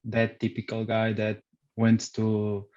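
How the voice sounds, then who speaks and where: A man's voice: fairly low pitch, completely flat delivery, slow, darkish tone, muffled recording. A young man with a Portuguese accent, a podcast guest answering interview questions with many hesitations and restarts. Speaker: podcast guest, frontend developer.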